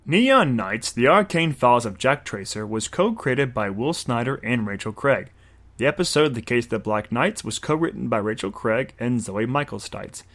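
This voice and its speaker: A man's voice: mid-range voice, expressive, moderate pace, a bit bright. A man reading scripted end credits and announcements for an audio drama. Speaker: credits reader. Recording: music under the voice.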